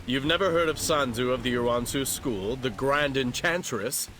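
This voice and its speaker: An actor playing a guarded, world-weary martial arts master in a video game cutscene, conversational and earnest. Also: male voice, mid-range voice, normal speed, fairly bright tone, a little hiss or hum, natural voice. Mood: earnest, regretful. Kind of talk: dramatic game cutscene acting.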